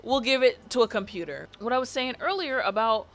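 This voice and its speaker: A woman giving casual, sarcastic commentary on a vlog, animated and quick to laugh. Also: fairly high pitch. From family from Barbados. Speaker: YouTube commentator.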